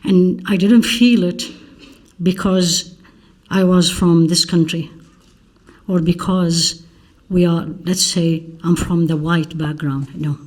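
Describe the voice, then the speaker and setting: A woman's voice: fairly low pitch, a little mellow. An earnest woman from Iraq lectures to a conference audience as a visiting physician. She presses her points with rhetorical questions and moves easily between English and recited Arabic prayers and verses. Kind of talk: faith-based public lecture.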